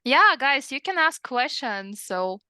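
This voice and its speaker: A woman's voice: sits high, very animated, slow pace, pushed and bright, smooth, no echo, clean studio sound. A woman moderating an online webinar over a video call. She talks in a chatty way and keeps dropping in "yeah".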